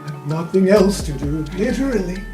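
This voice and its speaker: A woman's voice: lowish voice, lively intonation, moderate pace. A woman playing a tabletop roleplaying game, improvising dialogue in character. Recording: roomy, with music under the voice. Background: music.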